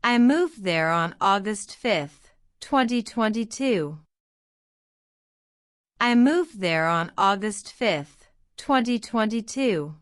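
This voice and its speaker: A woman reading interview practice questions and answers aloud, saying each line twice in a slow, deliberate drill. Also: medium pitch, expressive, smooth, dry recording, clean studio sound. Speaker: citizenship test practice narrator.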